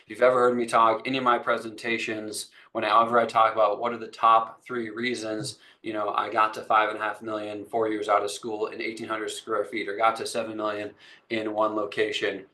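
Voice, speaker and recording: A man's voice: fairly low pitch, completely flat delivery, a little fast, pushed and bright, low-quality recording. A man hosting a webinar, introducing his guest with enthusiasm and a lot of repetition, speaking over a video call. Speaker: webinar host.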